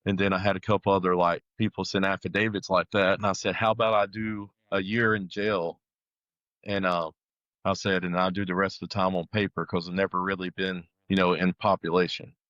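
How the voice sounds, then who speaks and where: Male interview guest, deep register, conversational and halting storyteller who quotes dialogue, very dry sound. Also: level tone, a bit bright, no room sound, lo-fi sound. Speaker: guest telling his life story. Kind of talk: casual personal testimony.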